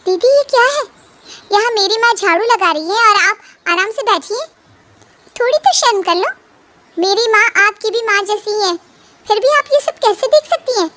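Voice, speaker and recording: A woman voicing a young wife who defends her mother indignantly in an animated family story. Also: very high voice, moderate pace, pitched above the performer's own voice. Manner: indignant and pleading.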